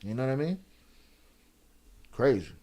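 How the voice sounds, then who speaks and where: A man commenting live on a video, sarcastic and animated, with many restarts. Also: medium pitch, measured pace. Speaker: reaction streamer.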